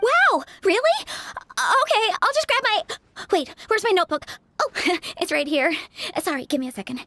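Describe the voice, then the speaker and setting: A woman's voice: extremely high pitch, sing-song, moderate pace, sharp tone, exaggerated voice. A woman voicing a shy, earnest young aspiring bard in a video game, hesitant and apologetic in the way she talks. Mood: flustered, excited. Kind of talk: video game character acting. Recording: music behind the voice.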